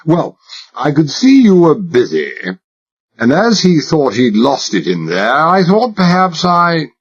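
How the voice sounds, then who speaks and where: A man's voice: a little high, huge pitch swings, a little slow, husky, voice pushed up, heavily acted. A man voicing a male agent who fakes an exaggerated upper-class English accent, talking in a fussy, chattering, mock-polite way, on a dull lo-fi recording. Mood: affected. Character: male undercover agent faking a posh English secretary.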